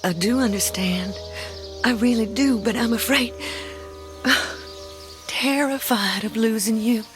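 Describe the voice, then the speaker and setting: An anxious wife and mother in a radio drama. She speaks slowly and earnestly, pleading with feeling, over prominent music. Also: fairly high pitch. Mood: fearful, pleading. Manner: earnest, emotional.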